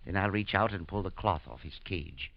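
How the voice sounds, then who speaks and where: An actor playing a nervous, haunted professor, agitated and urgent with sudden outbursts, in 1940s radio acting on a dull, dry old broadcast. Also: male voice, low-pitched, normal speed, creaky, dry recording. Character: nervous, haunted male professor, middle-aged. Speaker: professor and scientist.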